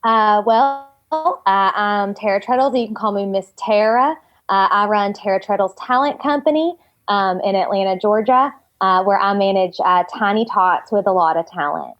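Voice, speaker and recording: A woman's voice: medium pitch, moderate pace, a bit bright, lightly acted. Woman playing a genteel talent agent, muffled sound. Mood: gracious. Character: polite female child talent agent from Atlanta, gracious. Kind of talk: comedic character interview. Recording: dull lo-fi call, music behind.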